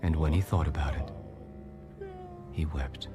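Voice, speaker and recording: A man narrating scripture in a measured, solemn audio Bible style. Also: a bass voice. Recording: music bed behind narration.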